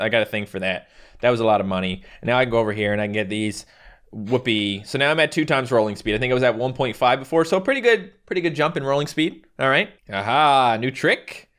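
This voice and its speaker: A young man from Newfoundland hosting a casual let's-play, excitable, yelping and joking at the game. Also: mid-range voice. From Newfoundland, Canada. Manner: excitable, yelps and jokes at the game. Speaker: gaming channel host. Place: home recording room.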